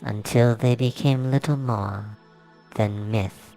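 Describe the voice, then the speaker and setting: A man reading his own fantasy novel aloud as a dramatic, solemn, measured narration. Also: mid-range voice, steady tone, mellow, poor audio. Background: music soundtrack.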